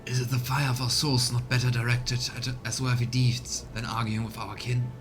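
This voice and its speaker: A man voicing a gentle, courtly alien elf seer from a game, with measured, formal, archaic speech. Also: mid-range voice, level tone, lightly acted. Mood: serene. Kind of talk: voiced reading of game dialogue. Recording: music behind the voice.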